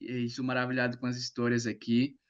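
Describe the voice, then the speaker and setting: Man in his twenties, Brazilian accent, mid-pitched, casual presentation, lo-fi internet call. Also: completely flat delivery, fast talker, clean tone. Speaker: presenter, journalism graduate. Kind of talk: informal project presentation. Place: video call.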